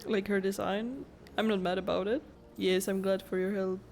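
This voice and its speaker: A woman's voice: medium pitch, steady tone, normal speed, creaky, darkish tone, a little hiss or hum. A woman giving casual, exclamatory reaction commentary while playing a video game, speaking informally in short asides. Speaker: gamer reacting to game cutscenes.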